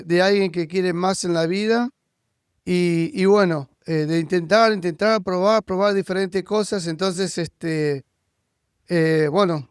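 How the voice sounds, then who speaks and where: A man with a high, clear voice interviews a guest in a casual, conversational way. He speaks, and the sound is dry and close. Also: no room sound. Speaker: podcast host interviewing a guest.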